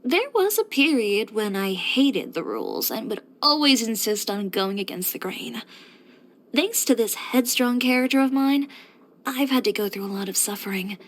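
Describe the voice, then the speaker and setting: A woman's voice: fairly high pitch, big pitch swings, moderate pace. An actress playing a headstrong, composed young woman who runs a boutique, speaking frankly and with dry confidence, as video game character voice lines. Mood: frank, defiant. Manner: matter-of-fact, frank, dryly confident delivery.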